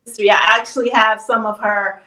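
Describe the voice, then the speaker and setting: A woman's voice: on the high side, level tone, fairly quick, background noise. Chatty woman in her fifties, echoey. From Chicago, USA. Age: 50s. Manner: chatty, loose storytelling. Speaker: guest, hairstylist. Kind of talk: casual interview. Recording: echoey room.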